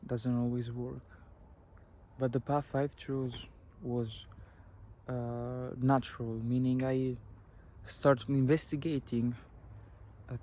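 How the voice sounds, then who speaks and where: Slow, earnest Italian-accented young man. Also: medium pitch, darkish tone. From Italy. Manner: earnest, halting, with many restarts and fillers. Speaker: vlogger. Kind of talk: personal advice talk to camera.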